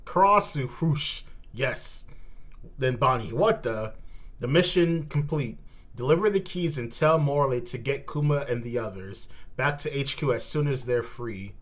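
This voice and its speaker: A male host doing a live reaction to manga. He reads dialogue aloud and adds his own commentary, moving between slower reading and animated exclamations at a middling pitch.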